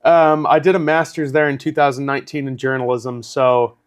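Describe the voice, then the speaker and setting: A man's voice: medium pitch, little pitch movement, a little fast, smooth. A man in his thirties hosting a podcast, asking friendly, rambling questions. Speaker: podcast host. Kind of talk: interview questions.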